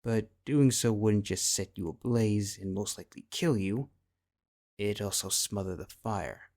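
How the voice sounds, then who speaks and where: A man's voice: fairly low pitch, unhurried, darkish tone. A man reading scripted second-person fantasy fiction as the narrator.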